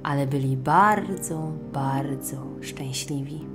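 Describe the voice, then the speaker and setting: A woman's voice: a bass voice, takes it slowly, over music. A woman narrating a scripted children's fairy tale audiobook expressively. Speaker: storyteller.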